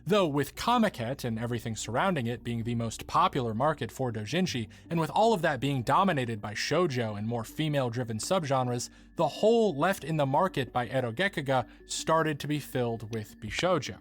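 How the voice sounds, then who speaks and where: A man with a mid-pitched voice narrates a video essay. His delivery is scripted, like a documentary, with articulate and formal phrasing throughout. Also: fairly bright tone.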